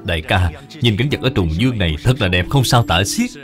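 An actor playing a devoted, earnest younger brother, a courteous scholar-official in an animated film of ancient China. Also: male voice, lowish voice, fairly quick, music in the background, natural voice. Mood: warm, respectful.

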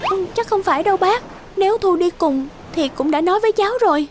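Woman voicing high-pitched anxious young wife. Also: lively intonation, fast, darkish tone, slight background noise. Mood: suspicious, worried. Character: gentle, anxious young fishing-village wife. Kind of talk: animated folk-tale dubbing. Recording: music under the voice.

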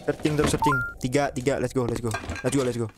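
A man's voice: mid-range voice, fairly even intonation, fast talker, over loud music. A young man gives casual horror game commentary, muttering repeatedly in a panicky way and mixing slang with English swearing. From Indonesia. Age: young adult. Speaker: gaming video host. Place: small room indoors.

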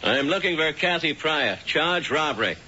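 A firm, animated police officer. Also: male voice, fairly high pitch, normal speed. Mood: commanding. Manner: firm, authoritative. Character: brisk, no-nonsense male police officer. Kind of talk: 1970s radio drama acting. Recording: muffled 1970s radio broadcast, noisy.

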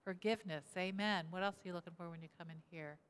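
Woman pastor in her sixties, smooth voice, interactive sermon at a lectern in a large echoing sanctuary. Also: lowish voice, fairly even intonation, quick pace. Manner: asks the congregation questions and repeats their answers. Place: church sanctuary, indoors, at a lectern. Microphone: lectern mic, camera at mid distance. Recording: reverberant church hall.

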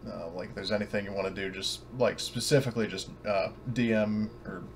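Man, game master, lowish pitch, halting casual explanations, noisy voice-chat recording. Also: fairly even intonation. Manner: halting, rambling. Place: online voice call.

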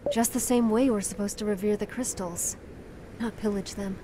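A woman's voice: mid-range voice, fairly even intonation, moderate pace, mellow, slight background noise, lightly acted. A woman performs a young heroine from a fantasy game, principled and firm. Her mid-range voice is composed and earnest. There is noticeable ambience behind the dialogue. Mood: earnest pleading. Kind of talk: dramatic fantasy game voice acting.

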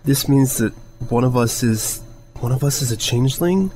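A man voicing a timid, gentle young female pegasus pony who speaks in a hesitant whimper. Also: mid-range voice, moderate pace, faint music behind, voice pushed up, natural voice, poor audio. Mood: hesitant, fearful.

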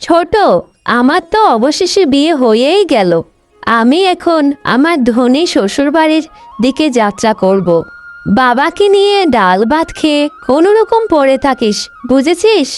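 A woman voicing a proud young bride, the elder sister in a cartoon, with a dramatic, expressive delivery. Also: high voice, measured pace, soft background music. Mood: haughty. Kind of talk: Bengali cartoon voice acting.

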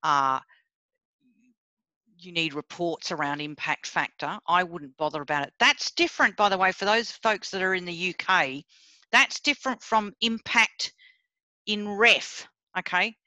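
A woman's voice: fairly low pitch, measured pace, bright, forceful tone, no room sound, dull audio. A middle-aged woman professor from Sri Lanka, leading a seminar over a video call in a blunt, chatty way that speaks straight to her listeners. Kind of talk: informal academic talk on a video call.

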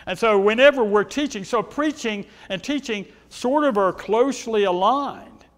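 A middle-aged man with a loud, carrying voice, preaching a sermon in a church sanctuary. He paces and gestures and asks the congregation rhetorical questions. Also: high voice, normal speed, big-room sound. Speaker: pastor.

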